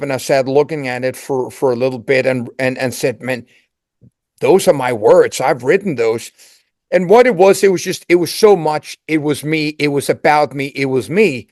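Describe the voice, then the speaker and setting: A man in his sixties from Denmark with a Danish accent, a singer giving a reflective interview and talking in halting, repeated starts. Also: medium pitch, darkish tone, no echo, clean studio sound. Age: 60s. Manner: halting, repeats words, searches for phrasing.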